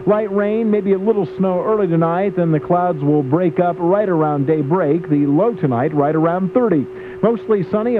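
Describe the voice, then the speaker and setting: A man giving a scripted radio weather report as a forecaster. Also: high voice. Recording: tinny AM radio with clear hum.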